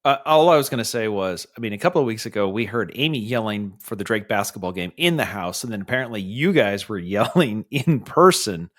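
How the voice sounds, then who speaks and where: Lively, playful podcast co-host man. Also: lowish voice, a little fast, hardly any pauses. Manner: playful, goofy questions, laughs a lot. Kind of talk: casual chat.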